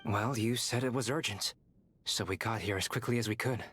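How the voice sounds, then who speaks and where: An actor playing a calm, courteous young alchemist in a video game, speaking in a measured, analytical way. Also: male voice, lowish voice, faint music behind, natural voice. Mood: polite.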